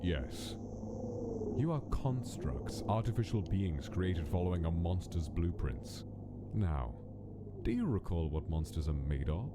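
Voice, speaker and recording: A man playing a cold, weary skeleton scientist in a dramatic comic dub, clinical, measured and evasive. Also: low-pitched, lively intonation. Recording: music laid under the voice, noisy ambience.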